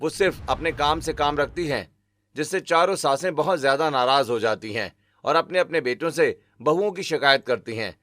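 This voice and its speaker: A man's voice: fairly high pitch, moderate pace, fairly bright tone. A man giving scripted narration for a cartoon family story.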